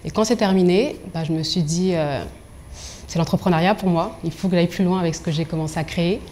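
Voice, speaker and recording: Expressive young woman telling her story. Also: lowish voice, fast, a little hiss or hum. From Angola and Congo. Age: young adult. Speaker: professional confidence coach being interviewed. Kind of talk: personal storytelling in an interview.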